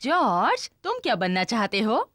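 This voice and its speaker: A woman's voice: fairly high pitch, huge pitch swings, unhurried, a bit bright, no echo, full character voice. A woman voicing a friendly, helpful grown-up animal lady in a children's cartoon, speaking in an encouraging singsong. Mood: helpful, upbeat.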